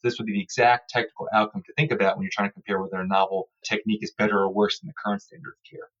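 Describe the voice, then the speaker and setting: A man's voice: on the low side, steady tone, rapid-fire, a bit bright, lo-fi sound. A man speaking as a research guest in an interview, giving formal, articulate technical explanations.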